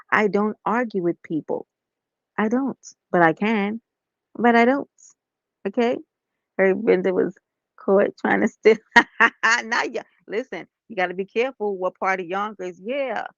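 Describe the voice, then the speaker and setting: A woman, slightly hoarse, talking softly and casually to viewers on a live stream from bed. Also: mid-range voice, no echo, highs cut off. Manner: soft-spoken, talks straight to viewers as y'all and honey.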